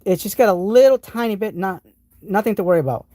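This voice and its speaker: A man's voice: high-pitched, fast talker, warm tone, no room sound, studio quality. A man with a New England accent hosting a live tool stream, showing off tools and answering chat in an enthusiastic, rambling way with many restarts. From New England, United States.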